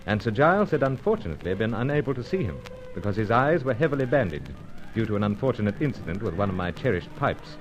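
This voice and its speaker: An actor playing a dashing, unflappable secret agent in a radio serial, clipped, jaunty and reassuring. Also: male voice, fairly low pitch, big pitch swings, fast, music in the background, rough recording. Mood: brisk narrating. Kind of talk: old-time radio serial acting and narration.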